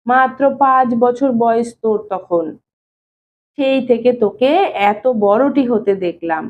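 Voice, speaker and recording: A woman's voice: fairly high pitch, a little hiss or hum. A woman reading an audio story as a nosy, concerned older brother-in-law, coaxing and questioning in a familiar tone. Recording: thin phone-like narrow-band sound.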